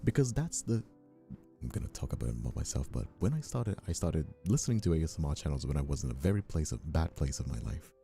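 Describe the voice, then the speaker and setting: A man in his twenties who sounds older than his years, chatting casually on a livestream in a halting, rephrasing way. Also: rumbling low, big pitch swings, vocal fry, mellow, soft background music. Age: 20s. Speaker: ASMR streamer and voice actor.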